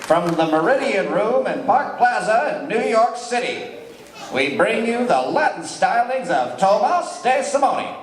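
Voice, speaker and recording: A man's voice: high-pitched, big pitch swings, unhurried. A man playing a formal, declamatory 1930s radio announcer, a touchy showman, in a mock old-time broadcast on stage. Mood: formal, urgent. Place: live community stage show indoors. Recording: echoey live stage hall.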